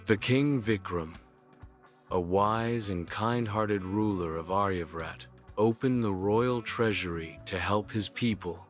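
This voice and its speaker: Deep, slow male storyteller. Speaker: narrator. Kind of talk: scripted bedtime story narration.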